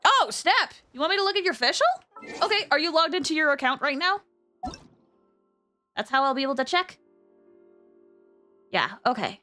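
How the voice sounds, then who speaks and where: A woman with a fairly high voice hosts a livestream. She chats casually with her viewers. Her delivery is expressive and emphatic, like someone happy to talk at length. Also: moderate pace, pauses often, soft background music. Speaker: livestream host.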